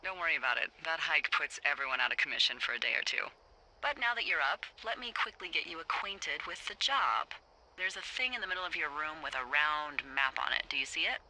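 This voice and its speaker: An actress playing a wry, bossy, foul-mouthed fire lookout supervisor in a video game, teasing and casual over a handheld radio. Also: female voice, low voice, brisk, bright, forceful tone, a little hiss or hum, heavily acted, low-quality recording. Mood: breezy, friendly. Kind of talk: naturalistic video game dialogue.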